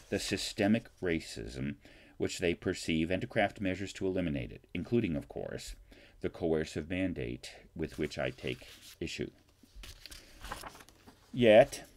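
Low-voiced older professor, formal and mellow. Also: male voice. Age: older, 60s. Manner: formal, emphatic, deliberate phrasing with rhetorical questions. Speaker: college political science professor. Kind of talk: reading an open letter aloud with brief asides. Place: small home study lined with books. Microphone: webcam or computer mic at desk distance.

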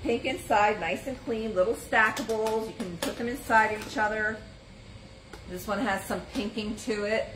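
A woman's voice: a little high, moderate pace. A middle-aged woman hosting a live antiques sale from a shop booth, chatting casually as she reads out items, sizes and prices. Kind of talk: live sales stream, casual item pitching. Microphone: phone or camera on a stand, moving around in frame. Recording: roomy shop sound with background noise.

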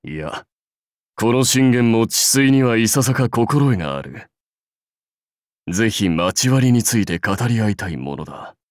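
Proud old-school samurai lord for a game, lowish voice, slow and stately, super dry sound. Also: male voice, lively intonation, no echo. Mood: measured, bemused. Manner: stately, archaic lordly speech. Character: commanding, dignified male samurai warlord, proud and seasoned. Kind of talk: Japanese mobile game character voice lines.

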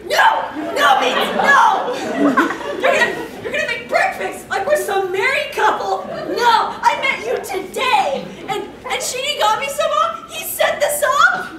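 Distant echoey recording, noisy crowd, young woman acting as overwrought anime teen, stammering wails, wildly swooping pitch. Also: animated, unhurried. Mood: panicked, indignant. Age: young adult. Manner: exclamatory, wailing, stammering. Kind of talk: comic dramatic fan fiction reading. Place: convention panel room stage, indoors. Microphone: far camera. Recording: distant, echoey panel room, loud noise, crowd.

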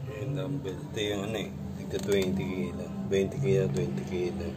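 A man's voice: low voice, level tone, fairly quick, over loud music. A man vlogging casually at home about his workout gear, rambling and repeating himself as he talks into a phone camera. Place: home room with gym gear.

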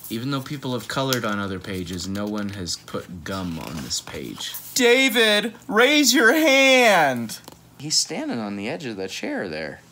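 American guy doing a chatty kids read-aloud, bright voice, takes his time, bouncy pitch. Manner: playful, joking asides. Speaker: host reading a picture book aloud.

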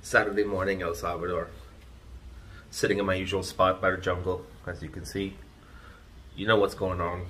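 Guy, 30s, ranting into his phone camera, lower voice, keeps hammering the same point, small indoor room. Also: little pitch movement, moderate pace, pauses often, a bit bright, a little hiss or hum. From Trinidad and Tobago. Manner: emphatic, lecturing, repeats points. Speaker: vlogger, channel host. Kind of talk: ranting vlog monologue to camera. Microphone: phone camera at arm's length.

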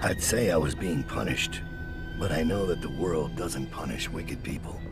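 An actor voicing a grim, guilt-ridden middle-aged master assassin in a video game, brooding, deliberate and menacing, with a gravelly voice. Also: male voice, rumbling low, huge pitch swings, loud music behind the voice, heavily acted. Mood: bleak, weary. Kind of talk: video game voice acting, dramatic monologue.

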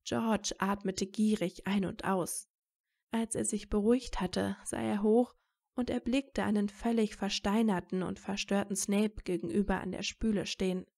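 Woman narrating a story, medium pitch. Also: creaky, a little mellow.

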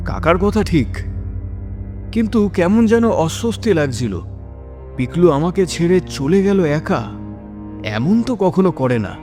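A man's voice: high-pitched, very animated, a little slow, pauses often, mellow, soft background music. A man narrating a scripted first-person horror story in a dramatic, suspenseful manner.

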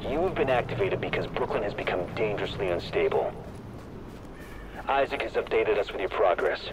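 A man playing an authoritative game commander, formal and brisk, with a lowish voice. He briefs agents sternly over clearly audible ambient noise. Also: lively intonation, lightly acted. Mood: stern, businesslike.

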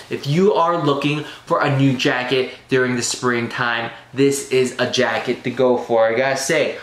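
A man's voice: mid-range voice, fairly bright tone. A man in his thirties giving a casual, upbeat product review to camera, often putting questions to his viewers. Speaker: menswear review vlogger. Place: small bare room at a desk, indoors. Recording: roomy echo with clear background noise.

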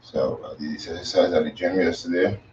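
A man's voice: low-pitched, a little fast, noisy background. A young man chatting casually to viewers while flexing, filmed on a phone in a small home kitchen. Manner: relaxed, loose, chatting while posing. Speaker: bodybuilding vlogger. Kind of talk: casual chat to live viewers. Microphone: phone camera across the room. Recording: roomy, distant, dull phone audio.

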